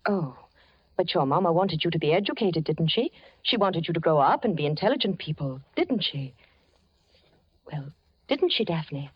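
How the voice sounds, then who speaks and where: A gracious young lady, husky and low-pitched, dry dull old radio sound. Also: lively intonation, moderate pace, no echo. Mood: coaxing. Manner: gracious, gently probing. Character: polite, curious young woman courted by a widower. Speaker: radio drama actress. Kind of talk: 1940s radio acting. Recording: dry, dull 1940s radio recording with faint music.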